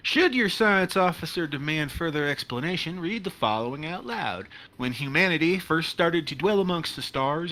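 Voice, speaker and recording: A wry, sarcastic young-at-heart spaceship officer acted by a man in a sci-fi comedy play. His voice sits a little high and swings widely. It is bright and animated, with jittery asides. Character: jittery, sarcastic male spaceship weapons officer, easily awed.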